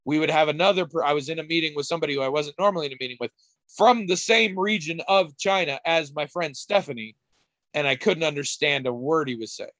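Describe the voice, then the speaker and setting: A man's voice: fairly high pitch, fast talker, fairly bright tone, no echo, poor audio. Middle-aged male co-host, candid and rambling, casual swearing, dry close recording. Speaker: podcast co-host. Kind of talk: casual chat.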